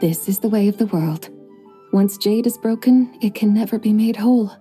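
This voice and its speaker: A woman voicing a serene, graceful immortal who speaks in measured, formal phrases in a video game. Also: medium pitch, music in the background, close to an everyday voice.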